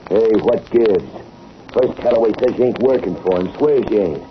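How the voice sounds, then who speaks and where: A man's voice: fairly low pitch, moderate pace, over music, close to an everyday voice. An American actor playing a cocky, slangy gangster hitman in an old-time radio crime drama. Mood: suspicious. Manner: tough, slangy street talk. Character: cocky hard-boiled gangster hitman, a murderous thug. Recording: muffled, noisy old radio recording.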